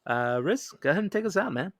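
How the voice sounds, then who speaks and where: A man's voice: sits high, very animated, fast talker, fairly bright tone, no room sound, clean studio sound. A man co-hosting a podcast in a casual, conversational style. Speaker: podcast co-host.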